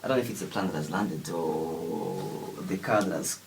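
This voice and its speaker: A man's voice: sits low, normal speed, gravelly. A man hosting a casual radio interview, rambling and laughing often. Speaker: radio host. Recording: distant mic with faint background noise.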